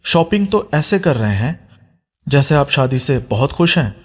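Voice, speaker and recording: A man's voice: on the high side, very animated, a little slow, slightly rough, full character voice. A man voicing a hurt, defiant young woman in a romance audio story, speaking with indignation. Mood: sarcastic.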